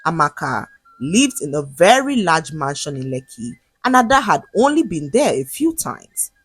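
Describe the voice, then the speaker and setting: Expressive woman storyteller, slightly husky. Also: deep voice, moderate pace. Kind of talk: scripted dramatic folktale narration.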